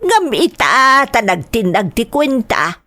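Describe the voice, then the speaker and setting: A woman's voice: fairly high pitch, big pitch swings, takes it slowly, pushed and bright, heavily acted. A woman with an Ilocano accent playing a nosy village gossip in an audio drama, chatty and prying. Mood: surprised, gossipy. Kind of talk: melodramatic audio drama acting.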